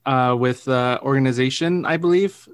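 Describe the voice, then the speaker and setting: A man from Arizona hosting a podcast, asking questions in a relaxed, friendly way with frequent restarts and ums. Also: mid-range voice, smooth, no echo. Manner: relaxed, friendly, halting with repeated words and ums. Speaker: podcast host. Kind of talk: casual interview conversation.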